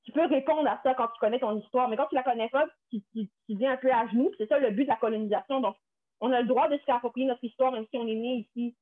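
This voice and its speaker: A woman's voice: a little high, fast talker. A young woman from Quebec with a Québécois accent, speaking earnestly on a panel, restarting and repeating words often. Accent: Québécois French. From Canada, Quebec. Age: young adult. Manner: earnest, many restarts and repetitions. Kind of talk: panel discussion, personal testimony. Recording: tinny phone-like line.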